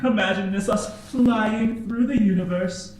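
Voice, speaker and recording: A man voicing a gleeful, teasing, impish hologram trickster in a sci-fi tabletop game, with a theatrical, drawn-out delivery. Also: high-pitched, very echoey, slight background noise, pitched above the performer's own voice, rough recording. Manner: taunting, playful, drawn-out delivery.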